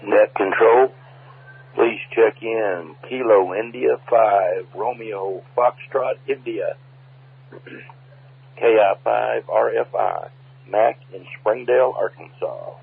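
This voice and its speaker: A man giving a deliberate phonetic check-in as a caller on an amateur radio net, heard over radio. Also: lowish voice, expressive, vocal fry, breathy edge. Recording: narrow tinny ham radio transmission.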